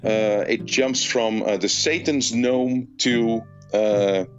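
A man's voice: medium pitch, steady tone, slow, dull audio, faint music behind. A man in his fifties, English not his first language, answering interview questions hesitantly while searching for words. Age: 50s. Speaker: author guest. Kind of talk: casual interview.

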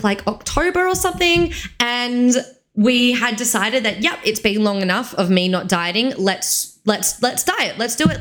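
A woman's voice: mid-range voice, fairly bright tone. A woman in her twenties with an Australian accent, talking casually and candidly on a solo podcast, repeating phrases for emphasis. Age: 20s. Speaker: podcast host, fitness coach.

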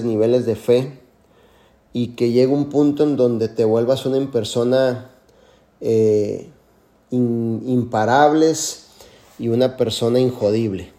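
Man with a mellow motivational tone. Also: mid-range voice. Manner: earnest, repeats filler "este". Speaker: mentor answering questions. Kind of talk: motivational mentoring call.

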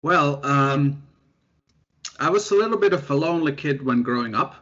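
A young man from Finland, a researcher, chatting in an interview in a relaxed, self-deprecating way with lots of fillers. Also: fairly high pitch, moderate pace. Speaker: guest researcher. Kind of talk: casual interview chat. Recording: dull lo-fi sound.

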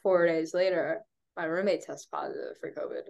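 A woman's voice: deep voice, fairly even intonation, moderate pace, vocal fry, a little mellow. A young woman co-hosting a podcast, chatting casually with lots of fillers and restarts, sounding congested. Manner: rambling, many fillers and restarts, laughs often. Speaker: podcast co-host. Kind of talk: casual chat.

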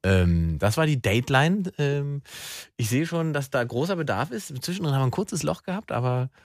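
A man hosting a late-night call-in radio show in a relaxed, chatty way. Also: medium pitch, normal speed, dry recording, clean studio sound. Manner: relaxed, chatty, upbeat sign-off.

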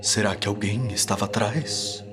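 A man's voice: lowish voice, fairly even intonation, normal speed. A young Brazilian man narrating a scripted children's radio story in a dramatic storytelling style. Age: young adult. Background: music.

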